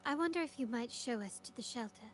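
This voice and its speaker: A woman's voice: on the high side, animated, slight background noise, close to an everyday voice. An actress playing a composed, formal, analytical woman investigator in a video game, speaking politely and precisely. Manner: measured, polite, articulate. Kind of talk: scripted video game dialogue.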